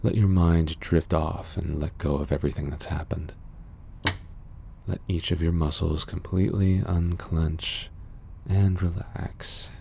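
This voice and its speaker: Casting: male actor as mysterious sci-fi ASMR doctor, extremely low and mellow, soothing, measured, guarded. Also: steady tone, gravelly, a little hiss or hum. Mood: soothing, hypnotic. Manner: gentle, measured, formal, reassuring. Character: mysterious, calm, guarded underworld cyberpunk doctor, man. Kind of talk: ASMR roleplay, soothing scripted monologue.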